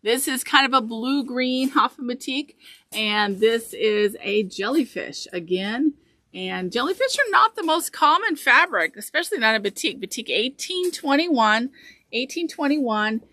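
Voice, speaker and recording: Bright-voiced woman chatting about fabric. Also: fairly high pitch, lively intonation, a little slow, no echo. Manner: chatty, enthusiastic, repeats item numbers. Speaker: fabric shop co-host. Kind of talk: casual product showcase. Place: indoor fabric store among shelves. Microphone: small camera on table nearby.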